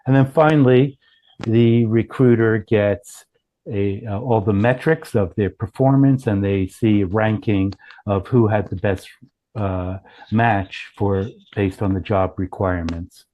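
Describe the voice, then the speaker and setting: A man's voice: lowish voice, a little slow, dull audio. A man giving an informal product presentation as a company founder, explaining things with frequent self-corrections.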